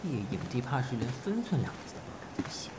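Man acting chatty, anxious assistant, fast-talking. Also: mid-range voice, sing-song, heavy background noise, close to an everyday voice. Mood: sardonic. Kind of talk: Chinese audio drama acting.

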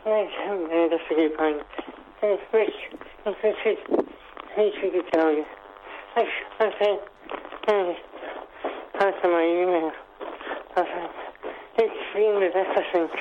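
Halting man on a noisy phone line. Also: sits high, steady tone. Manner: halting, repetitive, struggling to get words out. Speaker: caller.

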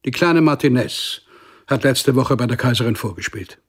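A man's voice: medium pitch, lively intonation, normal speed, husky. A man acting a strict, ambitious father and court official in a German radio drama, pompous, cajoling and demanding. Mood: nagging, demanding.

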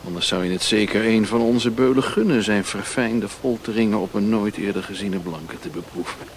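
An actor playing a fat, shady Chinese merchant in a radio play, mocking and philosophical, with a deliberate, taunting way of talking. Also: male voice, on the low side, a little hiss or hum, lightly acted. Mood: taunting, menacing. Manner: sardonic, taunting, deliberate, given to aphorisms.

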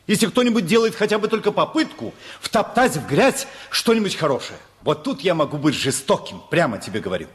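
A man's voice: on the high side, very animated, a little fast, few pauses. An actor playing a principled, blunt family man who reasons insistently in a stage-style radio play. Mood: earnest, forceful.

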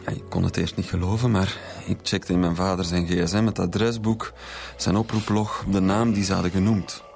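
Troubled student son under questioning: Flemish, deep creaky voice, hesitant, defensive, radio drama. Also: male voice, steady tone, normal speed, a little mellow, soft background music. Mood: explaining uneasily. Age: young adult. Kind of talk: naturalistic radio drama dialogue.

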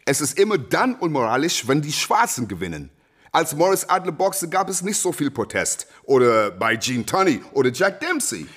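A man's voice: fairly high pitch, big pitch swings, moderate pace, a bit bright, husky, heavily acted. A man in a German radio play acting a proud, defiant boxing champion who declaims and argues back with rhetorical questions. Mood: insistent.

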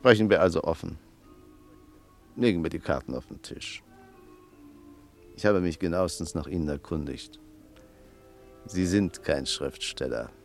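Deep-voiced, shrewd old hand. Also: male voice. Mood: cold, confronting. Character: shrewd, self-satisfied old hand, suspicious man in exile. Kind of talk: radio play acting.

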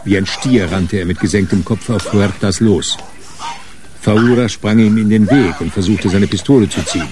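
An actor narrating a hard-boiled radio crime story. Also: male voice, on the low side, moderate pace, noisy background, lightly acted. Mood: tense action.

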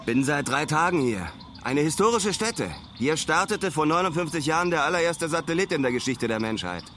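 An excitable young traveller doing a slangy audio diary, highish voice, clear music underneath, radio play. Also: male voice, fairly quick. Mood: excited, fascinated. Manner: slangy, clipped spoken-diary phrasing. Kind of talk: radio drama monologue.

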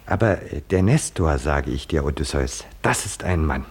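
An actor playing a short-sighted, mocking tavern philosopher in a 1950s German radio drama, with sardonic, deliberate pronouncements. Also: male voice, very deep voice, lively intonation, slightly rough, full character voice. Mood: ironic, musing. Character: short-sighted, mocking male tavern drinker who philosophizes about kings.

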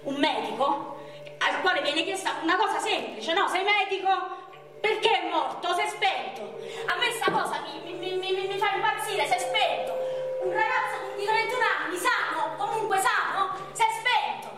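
An anguished woman speaks in a very high voice with a Roman accent. She is raw, insistent and repetitive in her grief and rage. The sound is heavily echoed and far from the microphone, with music behind. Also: noisy background. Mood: indignant, incredulous. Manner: repetitive, halting, swearing, insistent. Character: anguished woman raging and grieving over a young man's death. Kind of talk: theatrical monologue, raw and colloquial. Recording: very echoey, distant stage recording with music.

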